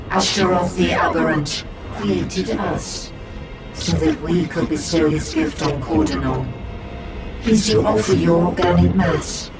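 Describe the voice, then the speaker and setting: A man voicing a monstrous collective being that calls itself "we" and talks with cold, formal courtesy. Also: a little high. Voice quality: gravelly, speaks as "we". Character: monstrous collective male abomination, coldly polite. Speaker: game villain. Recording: echoey, distant, loud score behind.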